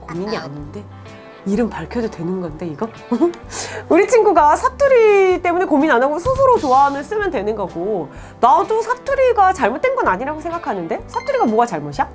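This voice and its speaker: A woman teacher in her thirties with a fairly high, very lively voice talks warmly and encouragingly to her students. She chats casually and laughs easily while she reads their letters. Faint music plays underneath. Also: brisk. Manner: warm, chatty, encouraging, laughs often. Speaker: Korean language teacher. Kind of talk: advice segment, reading student letters.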